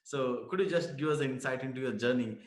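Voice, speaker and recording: A man's voice: medium pitch, fast talker. A man hosting a conversational interview, phrasing things in a hesitant, roundabout way. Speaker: interviewer, host. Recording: echoey room sound.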